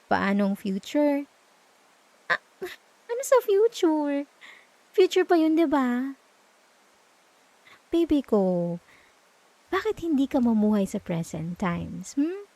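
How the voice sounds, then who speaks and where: Woman playing soft comforting filipino girlfriend, asmr roleplay, warm dark tone, kinda higher pitched, really close dry mic. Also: sing-song, slow, pauses often, no room sound, exaggerated voice. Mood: tender coaxing. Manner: soft, tender, coaxing, with murmured hums. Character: gentle, reassuring young girlfriend comforting her partner at night. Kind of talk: intimate ASMR roleplay, Taglish. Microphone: close microphone, dry.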